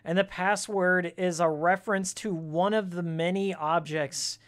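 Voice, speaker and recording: A game streamer with a raspy voice worn from shouting, giving casual, joking, opinionated commentary and fan trivia in slangy language. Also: medium pitch, fairly even intonation, unhurried. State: voice worn from screaming and ranting.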